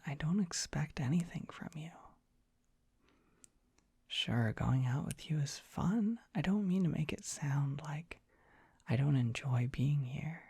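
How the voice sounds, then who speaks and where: A performer playing a dorky, gentle young dragon boy in an ASMR roleplay, speaking in a soft breathy whisper, tender and bashful. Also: medium pitch, no room sound, clean studio sound, full character voice. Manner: tender, bashful, hesitant, softly reassuring. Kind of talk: ASMR roleplay, intimate comforting monologue.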